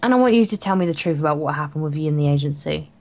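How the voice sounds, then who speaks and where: A woman's voice: low-pitched, lively intonation, very fast, a little mellow. A woman reading visual novel text aloud as a let's play co-host, dramatic and jokey. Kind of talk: story read-aloud with playful banter.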